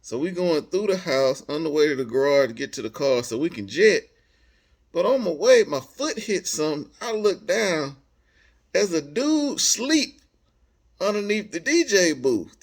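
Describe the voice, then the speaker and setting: An American man telling a personal story casually, in animated, slangy speech, acting out bits of dialogue as he goes. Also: on the high side, measured pace.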